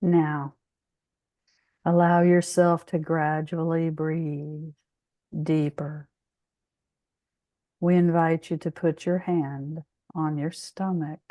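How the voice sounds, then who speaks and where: Female meditation guide, soothing and very unhurried, mellow tone, close dry sound. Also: low voice, level tone, no echo, muffled recording. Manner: soothing, measured, with long pauses.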